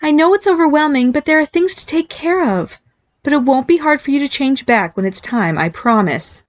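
A woman's voice: high voice, lively intonation, moderate pace, no echo, voice pushed up. A woman voicing a firm fairy mother in an audiobook, insistent and stern yet sympathetic. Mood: soothing. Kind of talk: audiobook character acting.

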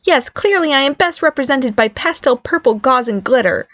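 Woman acting a squeaky, indignant boy-turned-fairy-girl. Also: expressive, normal speed. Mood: sarcastic, exasperated. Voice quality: squeaky, girlish. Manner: indignant, pleading protests. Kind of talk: audiobook character acting.

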